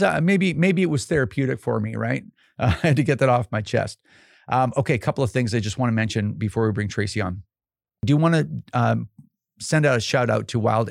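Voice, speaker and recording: Man, podcast host, gravelly, rambling, emphatic, worn voice, dry close sound. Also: medium pitch, no echo. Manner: rambling and emphatic, with many fillers and restarts. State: voice getting worn out. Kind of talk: casual podcast monologue.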